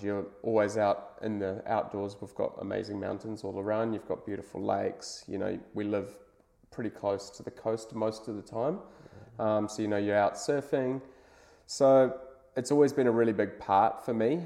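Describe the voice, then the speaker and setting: A man in his thirties from New Zealand with a New Zealand accent, chatting casually as an interview guest at home, with many "um"s and "you know"s. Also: lowish voice. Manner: relaxed, lots of "um" and "you know". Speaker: guest, brand founder. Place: living room at home.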